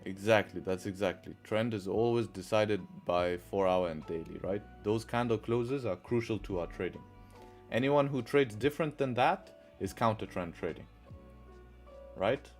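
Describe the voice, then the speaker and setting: Low-voiced man hosting a trading livestream. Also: moderate pace, faint music behind. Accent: mixed, partly Arabic-sounding. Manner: rhetorical, repeats questions and answers to drive a point. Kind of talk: live-stream chat and chart commentary.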